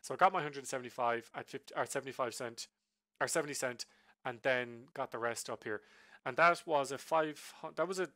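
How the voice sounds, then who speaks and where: A man's voice: medium pitch, fairly quick, bright, forceful tone, no echo. A man casually walking through his day's trades on a chart, rambling with many ums and self-corrections while he is sick with a flu. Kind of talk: casual screen-share trade recap.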